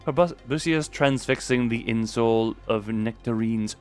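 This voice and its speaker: A man livestreaming a story game, reading aloud and commenting in an excitable, sweary, giggly way. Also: medium pitch, moderate pace. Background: music.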